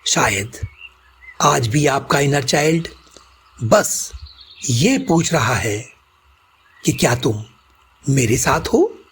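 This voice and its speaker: A man with an Indian accent leads a guided meditation at a very unhurried pace. His delivery is gentle and soothing, in short deliberate phrases, with lively rises and falls in a medium-pitched voice. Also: slight background noise. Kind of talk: slow guided meditation narration.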